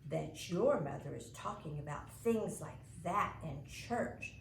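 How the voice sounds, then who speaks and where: A woman's voice: sits low, animated, slow pace, vocal fry, roomy sound, slight background noise, exaggerated voice, poor audio. A woman playing a prim, tattling little girl. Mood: prim disapproval. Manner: prissy, scolding.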